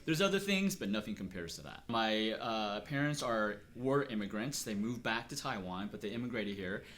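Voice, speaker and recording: A middle-aged man from Texas speaks in an interview, earnest and measured, with thoughtful pauses between his phrases. His voice sits a little on the low side. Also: animated, a bit bright. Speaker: interviewee, acupuncturist. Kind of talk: reflective personal interview.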